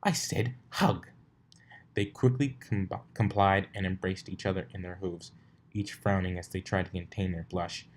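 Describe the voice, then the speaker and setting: Male amateur story narrator with a lowish voice, rereading stumbled phrases, noticeable noise on the recording. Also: fairly even intonation, moderate pace. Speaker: fanfiction reader and narrator. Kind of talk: story narration, read aloud.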